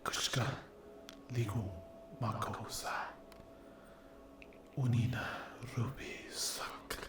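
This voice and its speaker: A man voicing a menacing, hungry sleep paralysis demon in a breathy whisper, drawn out with long pauses, as ASMR roleplay. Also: mid-range voice, little pitch movement, roomy sound, background noise, natural voice. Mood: eerie incantation. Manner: very slow, drawn-out, incantation-like delivery with long pauses.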